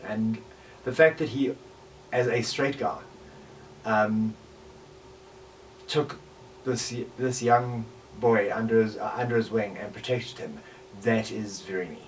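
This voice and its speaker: A South African man in his forties reflecting on personal experience in a halting way, with repeated words and pauses. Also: fairly low pitch. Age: 40s. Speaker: podcaster and voice actor. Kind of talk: personal reflection, interview-style talk. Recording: distant mic with faint background noise.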